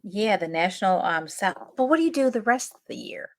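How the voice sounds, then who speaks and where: A woman's voice: medium pitch, very animated, a little fast. A young woman co-hosting a podcast, telling stories in a chatty, laughing way. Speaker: podcast co-host. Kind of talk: casual chat.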